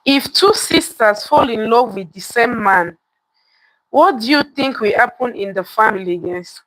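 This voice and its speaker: A woman's voice: on the high side, animated, measured pace, a bit bright, no echo, poor audio. A woman telling a folktale live, repeating lines for emphasis and putting questions to her listeners. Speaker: live storytelling host. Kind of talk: live interactive folktale storytelling, addressing viewers.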